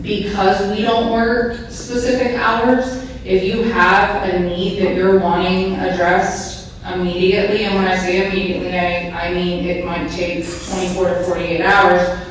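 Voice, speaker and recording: Woman, police officer, loud and chatty, lots of ums, far from mic, very echoey room. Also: on the low side, little pitch movement, measured pace, a little hiss or hum. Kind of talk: public talk at a community meeting. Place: community meeting room. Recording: echoey room recorded from afar.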